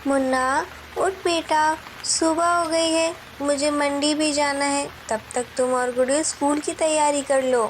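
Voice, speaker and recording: A woman's voice: high-pitched, fairly even intonation, measured pace, slight background noise. A woman voicing a poor, hardworking single mother who sells vegetables in a cartoon story, pleading but resolute. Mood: tender.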